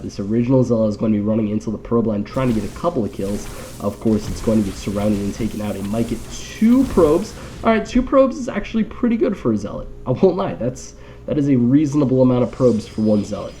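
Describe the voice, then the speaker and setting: A man's voice: on the low side, lively intonation, fast talker, warm tone, a little hiss or hum. A man with a nasal, congested voice from allergies gives casual live game commentary with wry, rambling, joking asides. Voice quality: nasal, stuffed-up.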